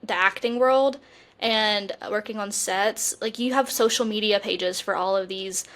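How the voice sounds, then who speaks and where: A woman's voice: medium pitch, measured pace, a bit bright. A young woman co-hosting a podcast in casual, chatty conversation full of colloquial fangirl slang. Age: young adult. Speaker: podcast co-host.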